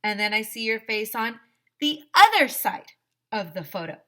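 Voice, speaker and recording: A woman voicing a calm male school principal in a storybook, asking deliberate, doubtful questions. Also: mid-range voice, pitched above the performer's own voice. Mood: questioning, skeptical. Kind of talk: children's chapter book read-aloud.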